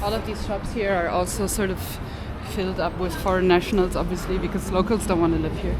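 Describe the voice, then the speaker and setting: Woman, vlog co-host, earnest, argumentative, outdoors, clear street noise. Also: on the low side, fairly even intonation, fairly quick. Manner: earnest, argumentative, explains with figures. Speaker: travel vlogger, co-host. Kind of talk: casual vlog narration. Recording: street traffic noise behind.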